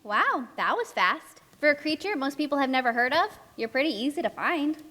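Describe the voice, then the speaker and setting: A woman's voice: sits high, sing-song, normal speed, pushed and bright, full character voice. A woman playing a chatty, anxious storybook narrator, speaking expressively and emphatically in a children's puppet show. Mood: encouraging.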